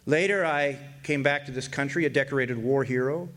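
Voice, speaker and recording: An actor playing a weary older drifter and war veteran, narrating a radio drama in a folksy, hesitant, reflective way. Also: male voice, mid-range voice, fairly quick, fairly bright tone, natural voice. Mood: wistful, weary.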